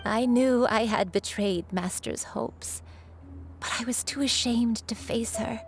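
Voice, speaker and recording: A woman voicing a dignified, remorseful elderly crane spirit in human form in a video game, telling her story in a measured, solemn way. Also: on the high side, over loud music. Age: older.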